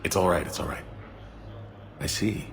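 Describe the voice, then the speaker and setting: A man playing a sympathetic hospital receptionist in a video game, measured and matter-of-fact. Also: sits low. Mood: calm, frank.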